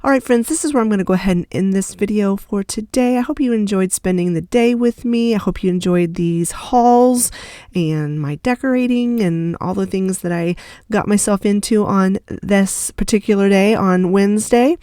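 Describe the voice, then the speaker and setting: A middle-aged woman hosting a casual home vlog, chatting in a rambling, self-correcting way, her voice congested and gravelly from a cold. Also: medium pitch, normal speed, dark tone. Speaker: vlog host.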